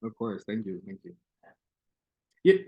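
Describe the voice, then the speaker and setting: A man's voice: on the low side, fairly even intonation, a little slow, dark tone, lo-fi sound. A man presenting research in an academic Q&A on a video call, talking hesitantly with many fillers and restarts.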